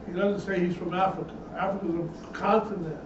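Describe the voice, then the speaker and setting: A man's voice: high voice, steady tone, brisk. A man preaching a Bible teaching sermon as a minister, emphatic and repetitive, building in intensity. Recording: echoey, distant, dull room sound with noise.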